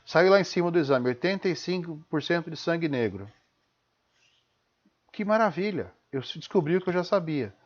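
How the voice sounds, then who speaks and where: A man's voice: a little high, lively intonation, moderate pace. Emphatic Brazilian man in dull lo-fi audio. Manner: emphatic, didactic, punctuated with rhetorical questions and check-in tags. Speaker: host of a live-streamed talk. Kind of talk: informal spoken lecture. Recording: dull, lo-fi live stream audio.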